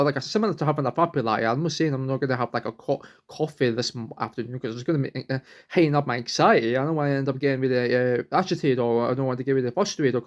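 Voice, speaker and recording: A young man, vlogging at home, rambling earnestly and restarting sentences as he searches for words. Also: medium pitch. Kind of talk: rambling personal vlog.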